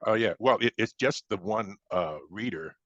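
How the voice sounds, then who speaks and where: Very deep older American man, roomy sound. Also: normal speed, a bit bright, lo-fi sound. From Chicago, United States. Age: 70s. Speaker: interview guest, author. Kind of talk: casual conversational interview.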